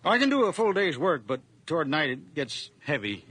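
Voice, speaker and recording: A kindly old farmer, with a fairly high, sharp-edged voice and lively rises and falls. He is folksy and a little hesitant, a lonely widower in an eighties radio play. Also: moderate pace, husky, no echo, exaggerated voice. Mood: wistful, plain. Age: older. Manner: plain-spoken, folksy, hesitant. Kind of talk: 1980s radio drama acting.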